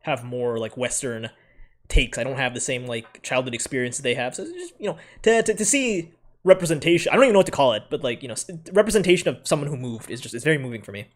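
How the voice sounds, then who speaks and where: A man's voice: medium pitch, lively intonation, fast talker. A man with an American accent, raised in the Philippines, casually ranking anime in a rambling way, full of fillers and self-corrections. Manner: laughs often while talking.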